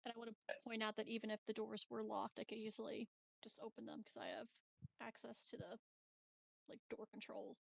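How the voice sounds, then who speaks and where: A woman's voice: fairly high pitch, level tone, a little fast, vocal fry, no room sound, dull audio. A woman chatting casually as a player in a tabletop game.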